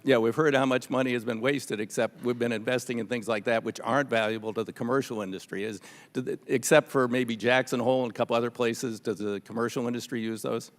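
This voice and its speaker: A man's voice: mid-range voice, steady tone, quick pace. A man with an American accent and a mid-range voice speaks off the cuff at a legislative hearing. He is skeptical and pressing, stopping and starting as he argues. The large room adds a long reverberation. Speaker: legislator questioning witnesses at a hearing.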